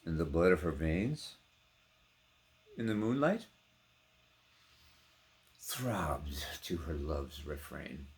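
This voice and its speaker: A man giving a theatrical, declamatory poetry recitation with sharp contrasts between hushed and loud delivery. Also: very deep voice, lively intonation, slow pace, long pauses. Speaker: poetry reader.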